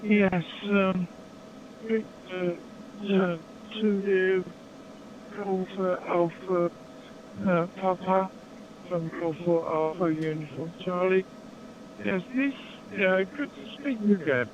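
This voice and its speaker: English ham operator, man, slow and halting, somewhat high voice, noisy signal. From England. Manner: slow, hesitant, many ums. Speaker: radio amateur. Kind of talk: ham radio contact. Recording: muffled noisy radio audio.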